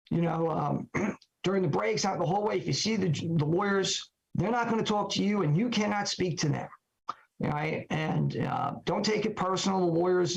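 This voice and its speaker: A middle-aged man, hoarse while his voice recovers, talking reflectively on a podcast in a halting way full of fillers and questions to himself. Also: a little high. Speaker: trial lawyer podcast guest. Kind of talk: reflective solo talk. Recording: dull lo-fi podcast audio.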